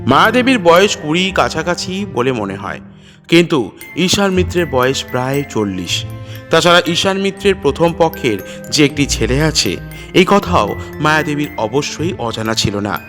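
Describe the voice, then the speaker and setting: Man narrating with animated, higher-pitched delivery. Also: moderate pace, soft background music. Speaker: audio story narrator. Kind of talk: dramatic scripted narration of a detective story.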